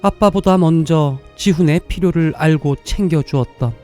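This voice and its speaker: A man's voice: on the high side, moderate pace, dark tone. A man reading scripted story narration as the narrator of a drama. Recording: music behind the voice.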